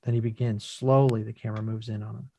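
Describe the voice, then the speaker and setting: A man narrating scripted screen action in measured, deliberate short phrases as the reader of stage directions. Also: on the low side, flat delivery, warm tone.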